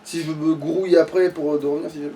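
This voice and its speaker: A man's voice: fairly high pitch, fairly even intonation, fast, dark tone. A man playing a video game and thinking aloud in casual, sweary commentary. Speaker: gamer playing on a let's play. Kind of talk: casual let's play commentary. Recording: distant mic with faint noise.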